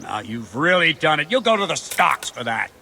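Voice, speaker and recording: A man playing a stern, gruff medieval captain who drills a recruit with clipped commands in a video game. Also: fairly high pitch, sing-song, moderate pace, sharp tone, a little hiss or hum, heavily acted. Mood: scornful, stern. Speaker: combat trainer, captain of the guard. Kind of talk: video game cutscene acting. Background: background music.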